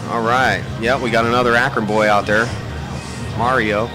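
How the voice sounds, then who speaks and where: A man's voice: medium pitch, fairly even intonation, moderate pace, over music, rough recording. An American man from Ohio giving casual live sports commentary in a chatty, folksy, slangy way. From Ohio, USA. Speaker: pool match commentator.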